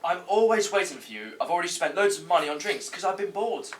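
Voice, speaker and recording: Man acting petulant young man, animated and high. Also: quick pace. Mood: aggrieved. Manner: indignant, whining. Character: petulant, self-important young man in a comedy. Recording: distant mic, faint room noise.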